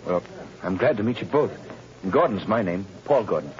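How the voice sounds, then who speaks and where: A man's voice: on the low side, lively intonation, normal speed, natural voice. An actor playing an earnest, desperate out-of-work family man in a 1930s radio drama. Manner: earnest, pleading, rising to panic. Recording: muffled, noisy 1930s radio recording.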